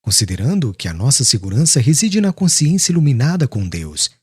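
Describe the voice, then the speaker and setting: A man voicing a humble, devout preacher on trial in an audiobook, speaking in a firm, measured, formal way. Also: mid-range voice, sing-song, a little mellow, breathy edge, no room sound, studio quality. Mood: resolute. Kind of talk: audiobook character acting, formal speech.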